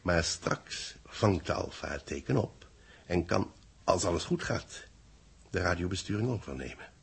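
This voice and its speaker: A grave, middle-aged Dutch bulletin writer in a 1960s science-fiction radio play. His voice is low and very husky, with a gravelly edge. He speaks theatrically, savouring each solemn phrase. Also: animated, heavily acted. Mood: ominous. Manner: solemn, theatrical, deliberate declamation. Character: grave senior man dramatically dictating space bulletins to his assistant. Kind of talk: 1960s radio drama acting.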